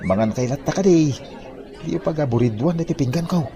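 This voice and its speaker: Man as blustering village buffoon, greedy husband, slightly high voice, animated swoops, Ilocano accent, comedy drama. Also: brisk, a faint crowd. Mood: greedy urgency. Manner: blustering, evasive. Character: scheming, gluttonous husband, a village comic buffoon.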